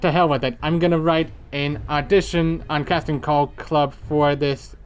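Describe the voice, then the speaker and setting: A young adult man, the creator of an audio drama, talking casually and relaxed, rambling and saying "you know" a lot. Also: fairly high pitch, moderate pace, clean tone. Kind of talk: casual commentary chat.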